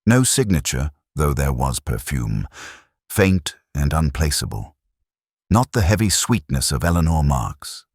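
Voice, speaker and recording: A middle-aged man with a very deep, slightly husky voice reads a detective mystery. His delivery is measured and brooding, with a wide, expressive rise and fall. The sound is close and dry, suited to literary first-person narration. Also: no room sound.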